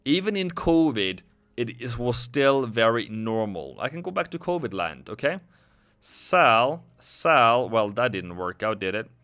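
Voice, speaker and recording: A man's voice: mid-range voice, big pitch swings, unhurried, a bit bright. A man hosting a casual trading livestream, chatting and joking sarcastically with viewers.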